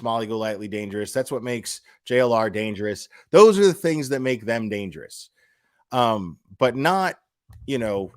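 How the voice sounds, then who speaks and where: A man's voice: medium pitch, a bit bright, no echo, studio quality. A man speaking casually and off the cuff like a live streamer on a rant, blunt and profane, repeating phrases for emphasis. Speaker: livestream commentator.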